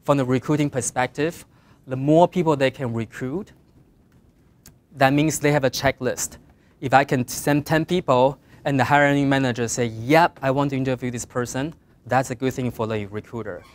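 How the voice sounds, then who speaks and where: A middle-aged man with a Mandarin Chinese accent gives practical career advice in English, his second language. His voice sits at an ordinary middle pitch. He speaks earnestly, lifting his voice on key points and letting the ends of phrases trail off quietly. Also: steady tone, normal speed. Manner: earnest, plain advice with emphatic rises and trailing quiet endings. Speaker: guest speaker. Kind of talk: career talk to design students.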